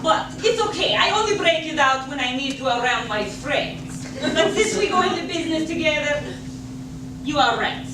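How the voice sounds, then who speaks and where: A woman's voice: sits high, lively intonation, normal speed. A young woman doing improv comedy, bubbly and eager in her scenes. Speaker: improv performer. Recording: echoey stage, far mic, noisy.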